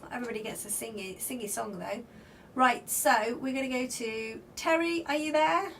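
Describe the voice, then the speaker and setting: A woman with a slightly croaky voice, reading spirit cards on a livestream and chatting with viewers by name, giving flowing spiritual guidance. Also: on the high side, moderate pace, fairly bright tone. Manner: reads comments aloud and greets viewers by name. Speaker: tarot card reader on a livestream. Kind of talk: live card-reading stream, chatting with viewers. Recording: slightly distant mic with faint background noise.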